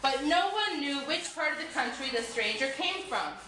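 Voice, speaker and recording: Woman storyteller, scripted folktale narration, lively intonation, echoey noisy room. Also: sits high, moderate pace. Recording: echoey room with clear background noise.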